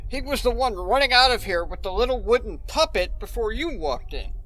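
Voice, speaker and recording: A man's voice: very high voice, very animated, fairly quick, bright, forceful tone, heavily acted, rough recording. A man playing a fussy, easily exasperated young grandson in a comedy horror audio drama. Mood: curious, bossy.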